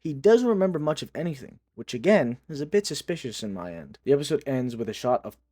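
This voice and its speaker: A man's voice: medium pitch, sing-song, fast talker, mellow, no room sound. Man recapping in a dry, close recording. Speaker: video host. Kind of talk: scripted recap commentary.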